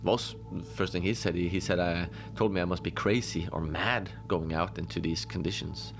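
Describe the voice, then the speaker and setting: A man playing a deadpan lone adventurer who tells his odd tales slowly and conversationally, rambling with halting asides, in a cozy horror audio drama. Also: deep voice, gravelly, over music. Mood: deadpan, musing.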